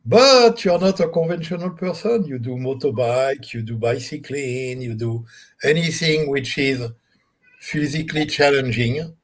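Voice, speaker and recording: A man from France hosting a live online panel, speaking slowly with many fillers. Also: medium pitch. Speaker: host. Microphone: video call.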